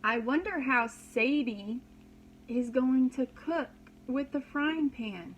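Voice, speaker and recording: A woman pre-kindergarten teacher narrates a picture book with slow, expressive care. Her voice is fairly high, and she explains things for young listeners over faint background noise. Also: poor audio. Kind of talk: read-aloud storybook narration for young children.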